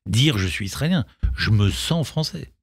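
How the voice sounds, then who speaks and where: A man's voice: mid-range voice, expressive, normal speed, slightly rough, no echo, studio quality. A middle-aged man, a film director and actor, answering radio interview questions, often hesitant with restarts before pressing his point firmly. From Israel, grew up in France. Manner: hesitant, many restarts, then emphatic. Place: radio studio.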